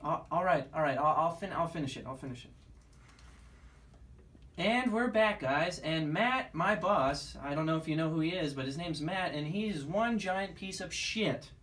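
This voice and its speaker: Man acting out defiant radio DJ, twenties, high-pitched, forceful, argumentative, amateur skit. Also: animated, moderate pace. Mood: bewildered, then mocking. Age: 20s. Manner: taunting, argumentative. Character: defiant young radio DJ being fired, cocky, mouthy. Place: small radio studio.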